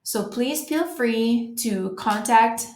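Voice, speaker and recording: American woman with a gravelly, echoey voice. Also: fairly high pitch, takes it slowly, darkish tone. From United States. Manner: friendly, informal, with frequent ums and restarts. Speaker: travel company presenter. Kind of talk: webinar presentation to travel agents. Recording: roomy echo.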